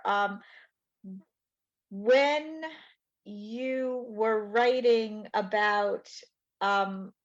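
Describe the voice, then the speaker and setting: A woman hosting a library podcast, asking questions slowly with many pauses and restarts. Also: medium pitch. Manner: hesitant, many ums and restarts. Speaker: podcast host. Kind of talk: interview questions. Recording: dull lo-fi sound.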